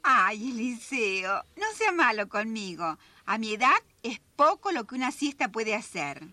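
A woman's voice: fairly high pitch, sing-song, a little slow, sharp tone, no echo, exaggerated voice. An actress playing an older Argentine wife and mother in a radio drama, sensual, coquettish and theatrical, with a Rioplatense accent.

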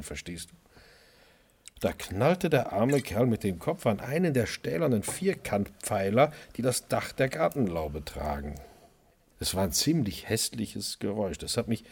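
An actor playing a wry, stubborn chef who narrates his own radio crime drama in a husky voice. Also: lowish voice, a little mellow, full character voice. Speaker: lead actor and first-person narrator.

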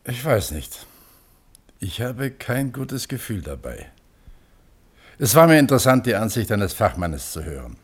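An actor in a radio play voicing a thoughtful, doubtful panel member who questions politely and hesitantly. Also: male voice, on the low side, sing-song, unhurried, pauses often, slightly rough, heavily acted. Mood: uneasy. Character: thoughtful, doubtful male member of a hearing board. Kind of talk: radio play courtroom acting.

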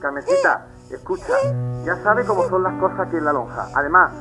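A man plays an older father on a phone call in a radio drama. He is fretful and evasive, his pitch swinging widely, with music behind. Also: very animated, a little fast. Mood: defensive pleading. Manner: hesitant, fretful. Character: apologetic, evasive older father, anxious grandfather far away. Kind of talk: audio drama acting, telephone scene.

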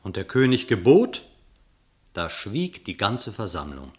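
A man narrating a classic verse radio drama in a measured, literary storytelling manner. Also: lowish voice, huge pitch swings.

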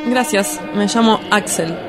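Man acting naive young country guy, argentine accent, blunt n guarded, radio play w loud music. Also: sits high, measured pace. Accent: Argentine Spanish. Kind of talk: radio drama dialogue.

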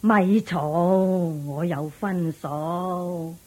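A practical widowed mother who frets and scolds in a Buddhist radio drama. Her Hong Kong Cantonese is slow and dark, with a husky edge. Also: fairly low pitch, steady tone. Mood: practical, firm. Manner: sighing, fretful, scolding at interruptions. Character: anxious, practical widowed mother of two grown sons.

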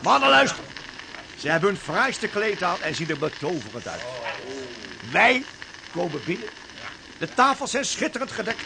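A ship's skipper in a radio drama, rallying stranded sailors with theatrical flair. The voice is very high and lively, with halting repetitions. Clear noise runs through the recording. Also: male voice, exaggerated voice. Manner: hesitant, repeating words, then theatrical storytelling. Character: animated ship's skipper rallying his stranded men with fantasy. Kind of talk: Dutch radio drama acting.

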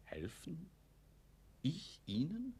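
An actor playing a nervous, blustering, middle-aged factory owner and former lieutenant with a guilty past in a German radio play. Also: male voice, a little high, wildly swooping pitch, very slow, dark tone, slightly rough, exaggerated voice. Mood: evasive, uneasy.

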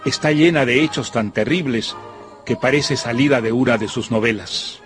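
A man presenting a radio novel with scripted literary narration in a formal, measured, erudite way. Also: medium pitch. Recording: music behind the voice.

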